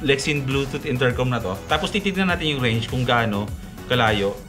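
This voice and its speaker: A man's voice: mid-range voice, lively intonation, fairly quick. A young man casually walking viewers through installing a helmet intercom, often checking in with "okay?". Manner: instructive, talks viewers through each step, frequent "okay?" checks. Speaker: moto vlogger demonstrating gear. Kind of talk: casual how-to walkthrough. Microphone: camera mic. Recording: background music. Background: background music.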